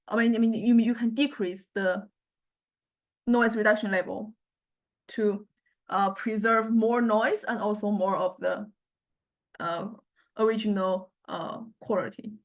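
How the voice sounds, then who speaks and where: A woman lecturer giving an informal, unscripted software demonstration, with halting phrasing and frequent check-in questions. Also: mid-range voice.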